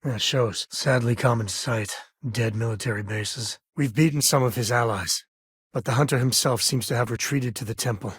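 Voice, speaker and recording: Wry American space ranger, very husky gravelly voice, folksy drawl, easygoing, middle-aged, video game dialogue, close dry recording. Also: male voice, medium pitch, normal speed, no room sound. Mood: matter-of-fact. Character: easygoing, wry male space explorer and ex-ranger, loyal father. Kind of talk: video game voice acting, naturalistic dialogue lines.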